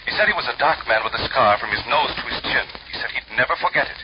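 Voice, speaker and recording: Actor as quick, anxious father. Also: medium pitch, expressive, lightly acted. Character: anxious father hiring a private detective. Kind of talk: 1940s radio acting. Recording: muffled, noisy old radio recording.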